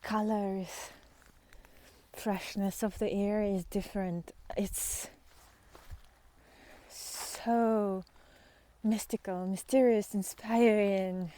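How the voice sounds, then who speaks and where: A woman speaking off the cuff and reflectively on a walk outdoors, musing and halting, often trailing into breathy near-whispers. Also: medium pitch, steady tone, mellow. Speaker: spiritual vlogger and guide. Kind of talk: unscripted reflective talk while walking in nature.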